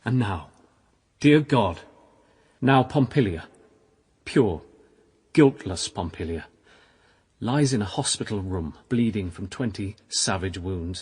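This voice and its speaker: An actor playing an impassioned young priest in a period radio drama, intense and declamatory, pausing for emphasis. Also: male voice, sits low, a little mellow, slightly rough, full character voice. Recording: long reverb of a hall.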